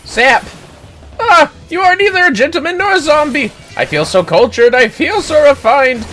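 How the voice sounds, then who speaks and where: A man playing a flamboyant, bombastic gentleman hero, exclaiming with melodramatic pomp. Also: very high-pitched, lively intonation, normal speed, faint music behind, voice pushed up, full character voice. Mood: triumphant bombast.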